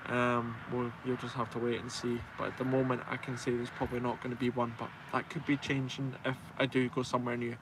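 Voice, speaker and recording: A man's voice: medium pitch, completely flat delivery, fairly quick, few pauses, noisy background. A man in his twenties with a Scottish accent, chatting casually and informally on a live stream and answering viewers with self-deprecating banter. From Scotland. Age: 20s. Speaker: truck driver and live stream host.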